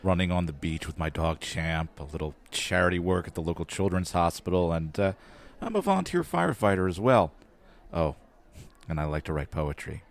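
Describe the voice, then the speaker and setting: Deep low voice, courtly romantic gentleman, smooth, gallant, unhurried, audio comedy. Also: animated. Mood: gallant charm. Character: suave, courtly gentleman romancing his date. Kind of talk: comedic audio drama acting.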